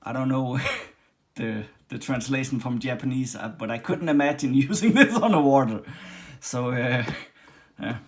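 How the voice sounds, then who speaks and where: A man from Denmark with a Danish accent, casually demonstrating and reviewing a leather machine, with many pauses and restarts. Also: medium pitch. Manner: halting, many ums and restarts. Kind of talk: casual workshop demo. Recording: faint background noise and clicks.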